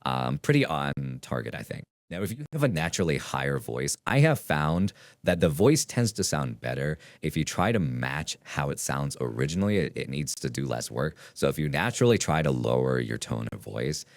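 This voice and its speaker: A man speaking as a deep male voice through an AI voice changer in a casual software tutorial. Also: sing-song, normal speed, dry recording, studio quality, exaggerated voice. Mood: explanatory, casual.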